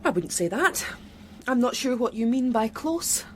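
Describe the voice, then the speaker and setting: High-voiced, defensive woman detective. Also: very animated, normal speed, a little hiss or hum. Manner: guarded, indignant, reluctant. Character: defensive, proud female police detective under questioning. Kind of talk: radio drama acting.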